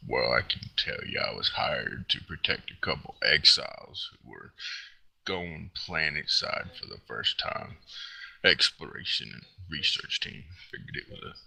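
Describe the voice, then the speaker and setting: A man playing a gruff, cranky non-human mercenary with a growling voice and slangy tough-guy talk, in a role-played interview. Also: expressive, measured pace, sharp tone, full character voice, poor audio. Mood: guarded, gruff. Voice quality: very deep gravelly growl. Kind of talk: in-character mock interview role-play.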